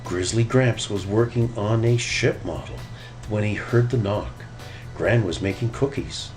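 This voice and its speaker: A man reading a children's storybook aloud as narrator, in an animated storytelling style. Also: fairly low pitch, measured pace, soft background music. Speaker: storybook reader.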